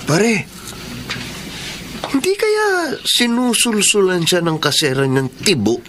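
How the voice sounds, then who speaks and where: A man's voice: high voice, sing-song, takes it slowly, noisy background, full character voice. A man playing a meddling, suspicious friend in a Filipino drama, talking in an insistent, conspiratorial way. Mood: suspicious, urging. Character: meddling, suspicious male buddy, gossipy and insistent. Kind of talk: Filipino radio-style drama acting.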